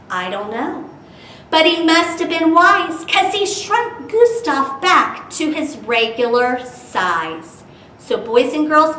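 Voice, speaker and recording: A woman with a bright, forceful voice leads a children's storytime in an expressive, dramatic way. Her pitch sits fairly high and she takes her time, addressing young listeners directly. The recording is roomy and a little distant. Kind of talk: animated children's storytime reading. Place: indoor room, virtual storytime. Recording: roomy, distant mic with background noise.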